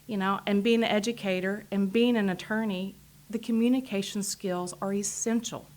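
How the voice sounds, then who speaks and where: A woman's voice: mid-range voice, steady tone, moderate pace, darkish tone. Texan woman running for office, earnest, keeps affirming answers. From Texas. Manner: earnest, repeats short affirmations. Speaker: political candidate. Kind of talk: interview answers.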